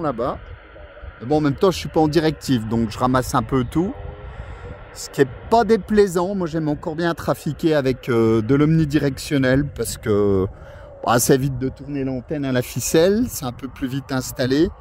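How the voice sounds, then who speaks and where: A man's voice: medium pitch, lively intonation, normal speed, a little mellow, slight background noise. A man chatting casually as an amateur radio operator, musing and hesitating in a friendly way, recorded outdoors. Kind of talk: casual running commentary. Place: outdoors at a hilltop radio spot.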